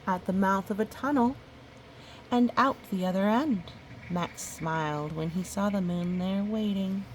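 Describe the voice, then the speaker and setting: A woman reads a children's storybook aloud over background noise. Her pace is slow and her delivery gentle, at a middle pitch. She slips easily between the written text and chatty, wondering remarks to a young listener. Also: poor audio. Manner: gentle, musing, chatty asides. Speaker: storybook reader. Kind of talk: read-aloud of a picture book with casual asides to a child listener.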